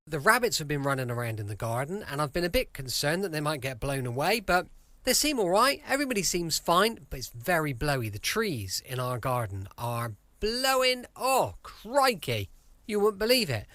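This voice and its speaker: High-pitched, animated English male podcast host. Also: moderate pace, no room sound. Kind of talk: chatty podcast introduction.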